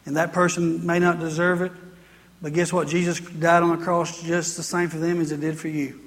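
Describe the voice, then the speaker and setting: A woman's voice: lowish voice, level tone, moderate pace. An American woman preaching a sermon to a congregation in an emphatic, repetitive, exhorting manner. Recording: reverberant hall sound.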